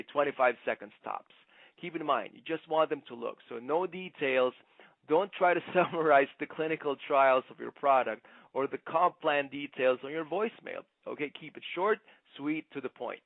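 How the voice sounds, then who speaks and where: A man giving an instructional sales presentation like a trainer, conversational but emphatic, often checking in with a quick "okay?". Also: medium pitch, gravelly, no echo. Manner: conversational, punctuates points with "okay?". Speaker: network marketing trainer. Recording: muffled, narrow phone-like sound.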